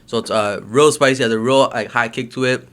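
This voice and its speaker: A man's voice: medium pitch, brisk, clear, resonant tone. A young man who grew up in Canada, chatting casually as an interview guest, loose and full of fillers. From Guyana, raised in Canada. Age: young adult. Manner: relaxed, lots of fillers like um and you know. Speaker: guest, hot sauce business owner.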